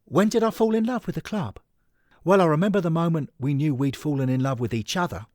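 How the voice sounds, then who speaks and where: Man acting an earnest, sentimental partner, higher-voiced. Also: fairly quick, darkish tone, no echo, clean studio sound, natural voice. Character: earnest, sentimental man, half of a devoted couple.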